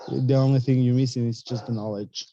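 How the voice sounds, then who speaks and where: Very mellow man, searching for words. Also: mid-range voice, fairly even intonation, fairly quick, highs cut off, a little hiss or hum. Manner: searching for words, many fillers. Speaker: real estate investor guest. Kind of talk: casual reflective talk on a video call.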